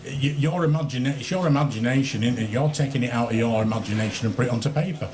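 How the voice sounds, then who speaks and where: A man's voice: mid-range voice, quick pace. A man hosting a live radio interview outside, encouraging and repeating back what guests tell him. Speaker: radio host. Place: outdoors in a city square. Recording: outdoor broadcast with steady background noise. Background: outdoor ambience.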